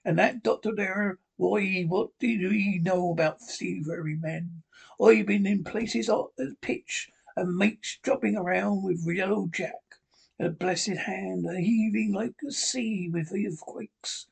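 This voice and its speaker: A man voicing a dying, rum-craving old sea captain in a feeble, husky voice, pleading and cursing. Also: high-pitched, heavily acted. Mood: ranting. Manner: pleading, wheedling, cursing, slow and drawn out. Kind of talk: audiobook character voice.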